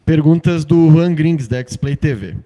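A man reading out journalists' questions for a club press conference in a steady tone. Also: on the high side, a little slow, slight background noise.

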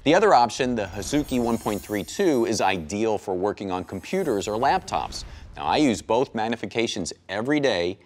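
A middle-aged man with a low voice that swoops up and down as he hosts an infomercial. He talks in an upbeat, chatty way, poking fun at himself. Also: animated, moderate pace, a little hiss or hum. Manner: upbeat, chatty, self-deprecating. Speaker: infomercial host. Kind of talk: scripted infomercial chat. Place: TV studio set.

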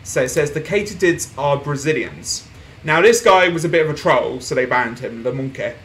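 A man's voice: fairly high pitch, animated, normal speed, a little hiss or hum. Chatty young man narrating a game. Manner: chatty, reads on-screen posts aloud, laughs softly. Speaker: gaming streamer. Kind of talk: casual game playthrough commentary. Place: small room at home, indoors.

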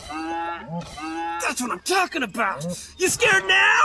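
Brash, wildly swooping prankster. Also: male voice, very high-pitched, huge pitch swings, slow, crowd noise, heavily acted. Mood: gleeful, taunting. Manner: boastful, loud, exaggerated. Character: brash, boisterous male prankster, cackling and cocky. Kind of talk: anime game voice acting.

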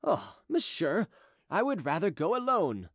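A man voicing a courteous, persuasive gentleman fugitive who talks evasively, as in an audiobook. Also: high voice, huge pitch swings, a little slow, no room sound, studio quality, pitched above the performer's own voice, exaggerated voice. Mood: polite insistence. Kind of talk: audiobook character dialogue.

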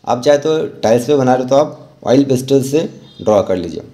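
A man's voice: medium pitch, lively intonation, brisk, dark tone. A man with an Indian accent giving an informal, encouraging step-by-step drawing tutorial as an art teacher. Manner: instructional, encouraging. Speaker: rangoli art teacher and channel host.

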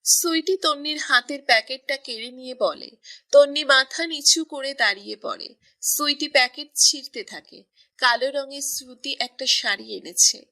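A woman narrating a scripted romance story in an even storytelling manner. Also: high voice, normal speed.

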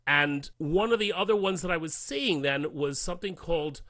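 A man's voice: fairly high pitch, normal speed, pushed and bright, no room sound. A man recounting things casually as a guest in an interview. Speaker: podcast guest, voice actor.

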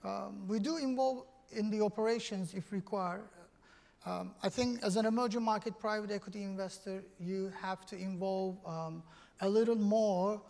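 A man with a Turkish accent answering as a panelist on a conference stage, measured and full of ums. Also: sits high, steady tone, vocal fry, darkish tone. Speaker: panelist, investment executive. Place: large conference hall stage.

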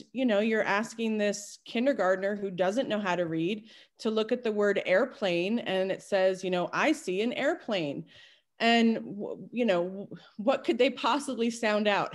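A woman in her forties, a kindergarten teacher, telling a candid story on a video call from home. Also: medium pitch. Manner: candid, storytelling, quick asides. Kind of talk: casual video call panel. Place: home room at night. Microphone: laptop webcam on video call.

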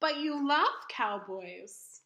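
A perky little storybook pig played by a woman, high and very melodic. She is earnest and caring toward her friend. The sound is slightly roomy. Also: animated, slow pace, bright, forceful tone, heavily acted. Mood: puzzled. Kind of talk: children's picture book read-aloud. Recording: roomy echo.

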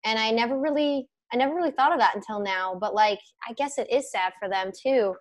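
A woman of twenty-five co-hosting a casual podcast over a video call, talking through earbuds at home, animated and given to swearing. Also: a little high, fairly quick. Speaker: podcast co-host. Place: home room with bookshelf, indoors.

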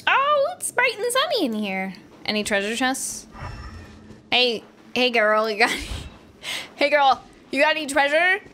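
A woman streaming a game with casual, playful, animated commentary, exclaiming and teasing as she goes. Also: high voice, a little slow, a little hiss or hum. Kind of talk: casual live gameplay commentary. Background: game music.

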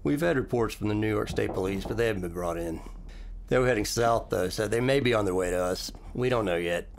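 An actor playing a steady, fatherly police captain in an audio drama, businesslike and plain-spoken with a gravelly voice. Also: on the low side, a little fast, a little hiss or hum. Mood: brisk, official.

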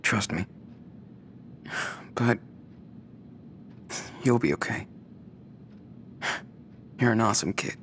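A young man playing a caring, protective older teen brother who speaks softly and hesitantly, in a video game cutscene. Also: lowish voice, takes it slowly, pauses often, a little hiss or hum. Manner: soft-spoken, hesitant.

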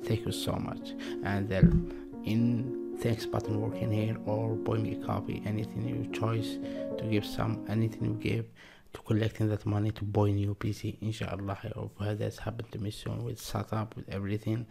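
Guy reacting to music, lowish creaky voice, close mic in a small home room, keeps saying wow. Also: little pitch movement. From Morocco. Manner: halting non-native English, repeated exclamations. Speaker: reaction video host. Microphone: desk mic close to face. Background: music from the reacted video.

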